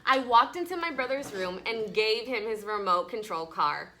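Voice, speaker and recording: A woman teacher reading a story to children and discussing it with them in an animated, conversational way, often checking in with questions. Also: on the high side, normal speed, slight background noise. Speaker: elementary school teacher. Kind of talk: children's story read-aloud with class discussion. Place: classroom with children.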